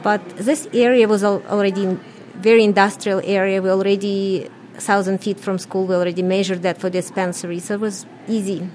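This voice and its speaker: A woman's voice: medium pitch, level tone, brisk, darkish tone, a little hiss or hum, poor audio. A middle-aged woman from Ukraine with a Russian accent, answering interview questions in a plain, matter-of-fact way. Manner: plain, matter-of-fact, non-native grammar. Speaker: business co-owner.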